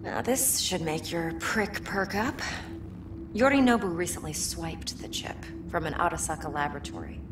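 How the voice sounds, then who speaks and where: An actress playing a sultry, manipulative woman fixer in a video game cutscene, speaking slowly and teasingly in a low, breathy, often whispered voice. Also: noisy background, close to an everyday voice. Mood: sultry, cool. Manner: slow, teasing, deliberate. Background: music.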